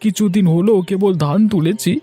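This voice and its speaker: A poor village farmer voiced by a man in a cartoon, with a dark, mellow, slightly high voice. He frets and laments in a plaintive way, over soft music. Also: fairly quick. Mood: distraught. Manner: plaintive, anxious. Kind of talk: cartoon voice acting.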